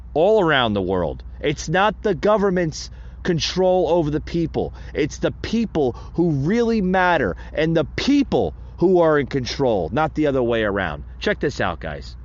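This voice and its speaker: A man hosting a podcast in a casual monologue, often repeating himself and addressing his listeners directly. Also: on the high side. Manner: repetitive, addresses listeners as guys. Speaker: podcast host. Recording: dull, lo-fi sound.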